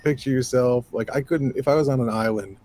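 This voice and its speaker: A man in his thirties from New York State with a low, raspy voice, a musician guest talking casually in an interview, animated and rambling with lots of fillers. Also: a little fast.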